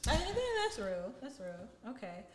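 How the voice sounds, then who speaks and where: A woman's voice: mid-range voice, sing-song, unhurried, slight background noise. A woman co-hosting a podcast, asking questions in a blunt, joking casual chat. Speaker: podcast co-host.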